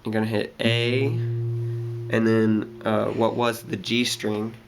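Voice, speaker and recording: Slow, hesitant young man, slightly scratchy. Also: lowish voice, little pitch movement, gravelly. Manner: hesitant, many ums and restarts. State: scratchy from a cold. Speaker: guitar teacher. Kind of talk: casual guitar tutorial. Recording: guitar playing throughout.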